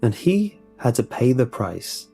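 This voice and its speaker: A male narrator with a dark, relaxed voice of average pitch reads a script in documentary style. His delivery is measured and polished, and music plays behind him. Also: gravelly, husky. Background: background music.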